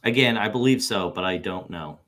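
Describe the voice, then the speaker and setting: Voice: sits low, moderate pace, fairly bright tone. Hedging businessman witness, acted by a reader, noncommittal and evasive in a deposition reenactment. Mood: evasive, stubborn. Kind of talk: read-aloud deposition transcript.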